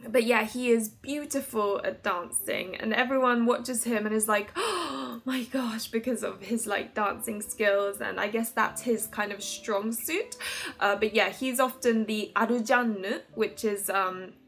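Woman in her twenties, bright forceful tone, fairly high voice, casual game review, giggly and animated, music underneath. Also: unhurried. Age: 20s. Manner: animated, giggly, hand-gesturing. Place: small furnished room at home, indoors.

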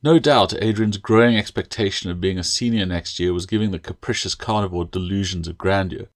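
A man's voice: low voice, fairly quick, no echo, clean studio sound. A man reading his own fantasy novel aloud as audiobook narration.

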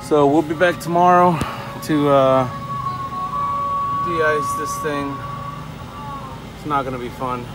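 A man's voice: a little high, fairly even intonation, slow, pauses often, over loud music, poor audio. A refrigeration technician talking to viewers while he tests wiring in a store's walk-in cooler, thinking aloud as he works, recorded on a nearby phone. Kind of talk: casual hands-on work vlog. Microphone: phone camera held or propped nearby. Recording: loud machinery and fan noise behind.

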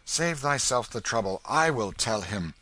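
A man's voice: medium pitch, lively intonation, measured pace, pushed and bright, dry recording, voice pushed up. A man voicing a haughty, indignant boy king in a storybook, giving imperious, clipped retorts in archaic speech. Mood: impatient.

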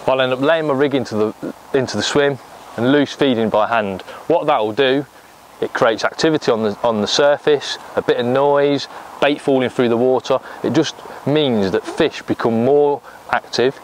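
An English man in his thirties talks through fishing tips step by step in a practical, explanatory way. His voice sits in a middle pitch. He is recorded outdoors by a lake on a clip-on mic, with a faint hum of noise behind him. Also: moderate pace, low-quality recording. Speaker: angling coach. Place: lakeside bank outdoors.

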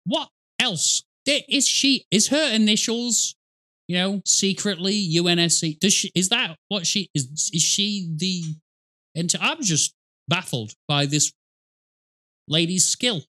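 A man's voice: high-pitched, a little slow, bright, forceful tone. A middle-aged man giving casual gaming commentary as a reaction host, rambling, sarcastic and sweary, close on the mic in a small room.

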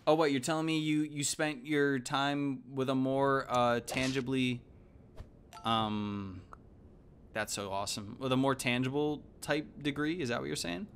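A man, giving casual, slangy, animated live game commentary. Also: mid-range voice, measured pace, a little hiss or hum. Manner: animated, exclaiming, thinking aloud. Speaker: game streamer. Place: indoor room at home.

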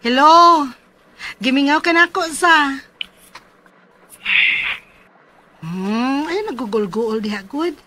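A woman's voice: high-pitched, animated, a little slow, very noisy. An actress playing a firm, worried thirty-two-year-old mother in a Cebuano radio drama. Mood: affectionate.

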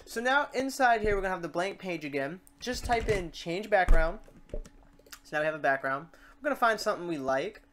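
A tutorial presenter talking informally through software steps and thinking aloud while working. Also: medium pitch, animated, moderate pace, a little hiss or hum. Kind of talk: casual screen-recorded software tutorial.

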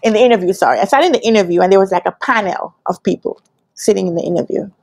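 Jamaican woman telling a story, expressive. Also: medium pitch, rapid-fire, vocal fry. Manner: animated, expressive, acts out quoted dialogue. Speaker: business analyst trainer telling her career story. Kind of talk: casual storytelling to camera. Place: quiet indoor room with curtains. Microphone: lapel.

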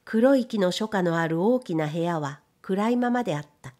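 A woman reading literary fiction as scripted narration, in a measured storytelling manner. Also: on the low side, animated, darkish tone. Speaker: audiobook narrator.

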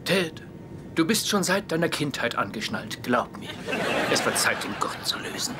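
A man's voice: fairly high pitch, sing-song, normal speed, very noisy. A cocky swaggering ladies' man. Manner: cocky, showy. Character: brash smooth-talking womanizer man, 30s. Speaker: dub actor. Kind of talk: German dubbed sitcom.

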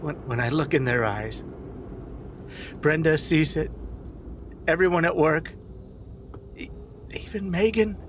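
A male actor plays an insecure desk cop and father who is down on himself, in a naturalistic audio drama. He speaks haltingly and circles back over his words. There is distinct vehicle noise behind him. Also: fairly high pitch, natural voice. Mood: defeated.

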